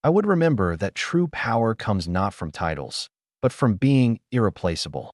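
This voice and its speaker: A man reading the lines of a composed billionaire mentor who speaks calmly and with dignity. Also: lowish voice, moderate pace, no room sound, clean studio sound.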